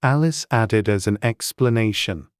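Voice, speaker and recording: A woman narrates a scripted storybook. Her voice is extremely low and her pace is slow and measured. The recording is dry, with no audible room. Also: smooth, no room sound. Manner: measured, unhurried. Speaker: audiobook narrator.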